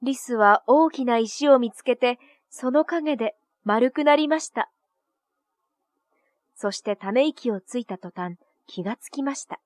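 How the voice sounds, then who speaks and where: A woman's voice: fairly high pitch, measured pace, dry recording, studio quality. A woman reading a scripted children's story as narrator, with music playing behind her.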